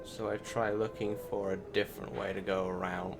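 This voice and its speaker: A man narrates his gameplay in a casual, meandering way. His voice sits fairly low and has a frequent gravelly creak. He thinks aloud and second-guesses himself, with loud game music playing behind him. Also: flat delivery, normal speed. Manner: hesitant, thinking aloud, self-correcting. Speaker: gaming YouTuber. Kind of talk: casual rambling gameplay commentary, voiced over afterwards. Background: loud video game music.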